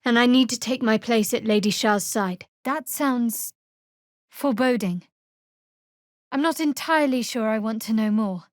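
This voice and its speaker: Woman acting a guarded young dark priestess for a video game, lightly high voice, dry wit, measured, really dry studio sound. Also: dry recording, natural voice. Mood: somber. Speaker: fantasy adventurer companion. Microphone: close, dry studio mic.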